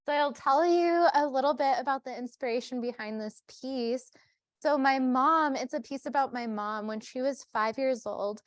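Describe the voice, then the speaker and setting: A woman's voice: a little high, studio quality. A woman giving a casual talk to a school group as a guest artist, encouraging and often echoing back what she hears.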